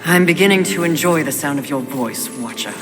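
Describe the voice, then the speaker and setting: A woman's voice: low-pitched, lively intonation, a little fast, long reverb tail, over music. A woman playing a haughty, sardonic warrior in a video game, cold, dry and commanding. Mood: cool, assured. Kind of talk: video game cutscene acting.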